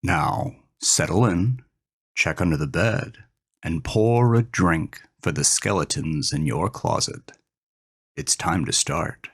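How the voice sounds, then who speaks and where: A man hosting and narrating a scripted horror story in an intense, deliberate, dramatic way. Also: sits low, lively intonation, slightly rough. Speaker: host, author and narrator.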